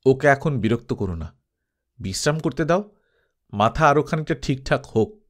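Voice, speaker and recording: A man's voice: medium pitch, expressive, moderate pace, natural voice. Man acting a level-headed, firm male adventurer. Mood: soothing. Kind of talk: dramatised audiobook storytelling.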